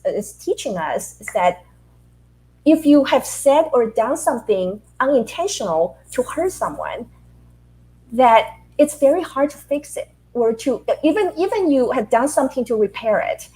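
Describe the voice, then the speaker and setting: A middle-aged woman from Taiwan, a leadership author answering interview questions in an animated, storytelling way with rhetorical questions. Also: fairly high pitch, rough recording.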